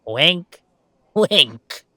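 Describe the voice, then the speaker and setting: Male actor as young werewolf gamer, audio drama, earnest and playful, animated intonation, conversational, hesitant and emphatic, dry recording. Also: a little high, no room sound, exaggerated voice. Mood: playful, coaxing. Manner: halting, emphatic, expressive, with sighs and chuckles. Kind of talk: intimate roleplay audio acting, conversational.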